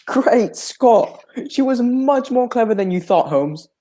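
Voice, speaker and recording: A genial gentleman companion and storyteller of old radio drama, acted by a man. His mellow voice swings widely in pitch, with proper, exclamatory phrasing. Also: lively intonation, takes it slowly, exaggerated voice. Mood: astonished. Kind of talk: adaptation of a 1940s radio drama.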